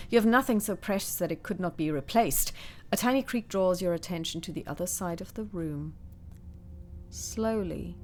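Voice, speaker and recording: Low-voiced woman reading a gamebook. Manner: reads passages steadily, then chats about her choices. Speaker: solo tabletop game player. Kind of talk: reading a gamebook aloud with asides.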